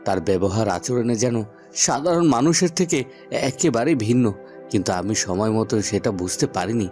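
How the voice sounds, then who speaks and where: A man playing an earnest young prince in a cartoon, speaking with halting, repeated words. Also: medium pitch, animated, darkish tone, soft background music, natural voice. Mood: earnest resolve. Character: earnest young prince devoted to his grandmother.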